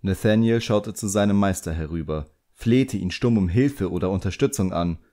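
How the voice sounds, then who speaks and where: A man's voice: low-pitched, moderate pace, warm tone. A man narrating a literary audiobook in a dramatic storytelling style.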